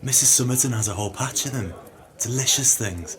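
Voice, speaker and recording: An actor playing a jealous, scheming swindler in an audio play, mock-genteel and bossy. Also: male voice, mid-range voice, fairly quick, fairly bright tone, natural voice. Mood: falsely agreeable. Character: scheming, jealous swindler, impatient and falsely agreeable.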